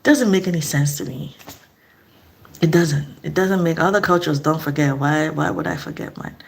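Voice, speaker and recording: A woman's voice: deep voice, normal speed, vocal fry, a little hiss or hum. A middle-aged woman from eastern Nigeria, speaking in a casual, earnest vlog monologue and asking reflective questions. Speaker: vlogger.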